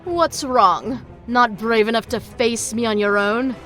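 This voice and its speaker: A woman's voice: fairly high pitch, measured pace, a bit bright, faint music behind. A woman playing a menacing villain in an audio drama, taunting with mock sweetness and condescension. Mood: cold menace. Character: mocking, menacing villain taunting a young magician.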